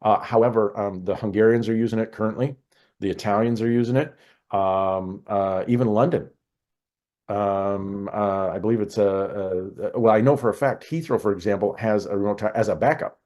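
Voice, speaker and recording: Male American interview guest, expert register, low pitch, conversational and halting. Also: steady tone, darkish tone. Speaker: podcast guest, air traffic control expert.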